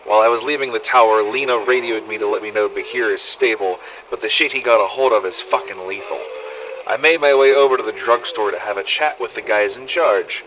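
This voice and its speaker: An actor playing a foul-mouthed, cynical zombie-apocalypse survivor, narrating a wry audio diary through an old cassette recorder filter. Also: male voice, on the low side, a little fast, fairly bright tone, rough recording. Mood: wry, irritated. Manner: sweary, sardonic, world-weary storytelling. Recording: old cassette tape with faint noise.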